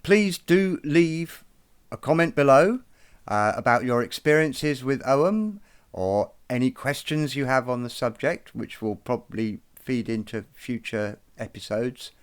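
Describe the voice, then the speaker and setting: A man presenting an explanatory lesson, speaking in a slow, measured way and taking care over each pronunciation. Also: medium pitch, animated, clear, resonant tone. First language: not Irish. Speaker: presenter of an educational video.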